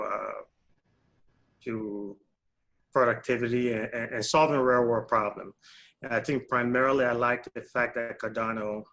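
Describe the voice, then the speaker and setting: A man talks in a gravelly, creaky voice at an average pitch. He speaks hesitantly, stopping and restarting his sentences and filling pauses with "uh". He sounds like a panel guest introducing himself in a relaxed chat. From Liberia. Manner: hesitant, many fillers and restarts. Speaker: stake pool technical lead and software developer.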